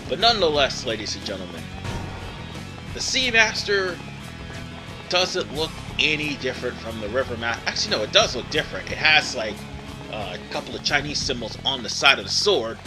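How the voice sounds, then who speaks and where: A man's voice: medium pitch, very animated, moderate pace. A tired man giving casual, rambling let's play commentary, often stopping to correct himself. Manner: rambling, digressive, self-correcting. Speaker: gaming video host. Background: video game music.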